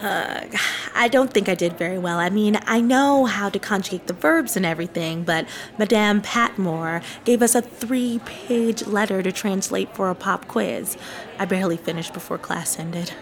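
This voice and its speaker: A woman playing a curious, opinionated, teasing teenage prep school girl in a radio drama, with an expressive, gossipy, sarcastic delivery. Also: mid-range voice, normal speed, natural voice. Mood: grumbling.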